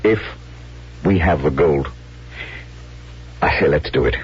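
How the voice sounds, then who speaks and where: A man's voice: very deep voice, slow pace, slightly rough, heavily acted. A man acting a proud, blunt army colonel in a 1970s radio drama, speaking formally and dutifully. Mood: grimly frank. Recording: muffled vintage radio broadcast.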